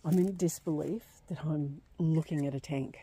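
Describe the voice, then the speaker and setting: A woman exploring outdoors, giving excitable commentary with frequent laughs and gasps. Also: sits low, moderate pace, slightly rough. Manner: excitable, laughs often, breaks into gasps and whispers. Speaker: vlogger exploring abandoned places. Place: outdoors on an overgrown rural property.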